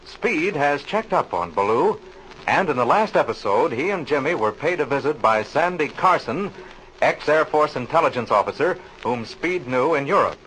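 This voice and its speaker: A man announces an old-time radio serial in scripted narration. His mid-pitched voice moves with lively, wide inflection. The old recording has a faint noise under it. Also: moderate pace, low-quality recording.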